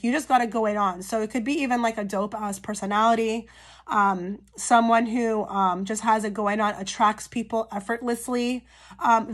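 Female tarot reader, higher register, a touch hoarse and cracky; casual, talks straight to camera with frequent check-ins. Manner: chatty, frequent "okay?" check-ins with viewers. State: slightly hoarse from a cold. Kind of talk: pick-a-card tarot reading to camera.

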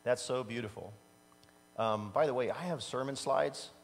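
Fifty-year-old pastor preaching in a reverberant sanctuary. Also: male voice, mid-range voice, normal speed, gravelly. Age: 50s. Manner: animated storytelling, laughs at his own stories. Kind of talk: casual conversational sermon. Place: large church sanctuary stage. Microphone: standing at a pulpit mic, far camera. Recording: long reverb from a church hall.

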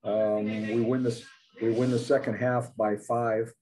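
A man's voice: mid-range voice, flat delivery, measured pace, mellow. An older man, a basketball head coach, giving plain-spoken postgame answers full of fillers over a video call. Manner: plain-spoken, many fillers, matter-of-fact. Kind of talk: postgame press conference answers. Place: indoors in front of a logo backdrop. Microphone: video call, webcam at desk.

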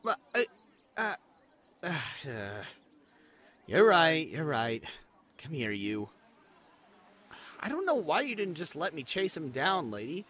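A man's voice: fairly high pitch, sing-song, takes it slowly, pauses often, a bit bright, slightly rough, full character voice. A man voicing an eager, insecure spider companion who stammers, pleads and boasts in an amateur fantasy audio drama. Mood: flustered.

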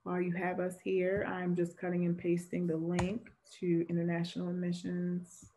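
A young woman with a low voice leads an online information session over a video call from a room at home. She talks steadily and explains each step. Her pitch stays flat and even throughout. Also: normal speed, slight background noise. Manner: steady, explanatory, relays questions from the chat. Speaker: admissions moderator of an online Q&A. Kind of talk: informational webinar Q&A. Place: home room with framed pictures.

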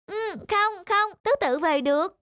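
A woman's voice: very high voice, a little slow, a bit bright, dry recording, clean studio sound. A woman voicing a small, anxious, polite squirrel in a children's cartoon, with a plaintive manner.

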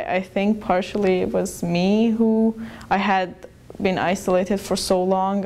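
A young woman from Iran recounts her experiences in a small plain room, recorded close on a lapel mic. Her voice sits low and mellow, and she speaks thoughtfully with occasional restarts. The tone stays calm and reflective. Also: clean tone. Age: young adult. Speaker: interviewee. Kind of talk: personal account.

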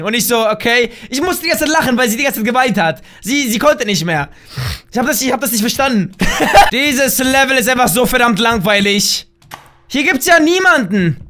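A hoarse young man in his twenties, loudly and playfully commentating a game from a small room at home, talking into a desk mic while wearing a headset. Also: extremely high pitch. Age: young adult. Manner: loud, shouty, laughing, playful exclamations. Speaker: gaming YouTuber. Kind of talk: excited gameplay commentary.

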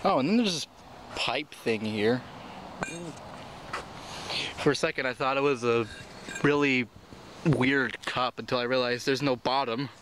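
A man's voice: medium pitch, measured pace, long pauses. A young man in casual vlog talk, rambling and chatty with frequent laughs, filming himself on an abandoned tennis court with a handheld camera. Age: young adult. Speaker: vlogger. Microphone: handheld camera mic. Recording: outdoor camera audio with background noise.

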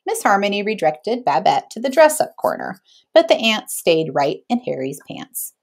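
A woman in her thirties reading a picture book aloud to children in an expressive, teacherly way. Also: mid-range voice, moderate pace, gravelly. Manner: teacherly, checks in with listeners.

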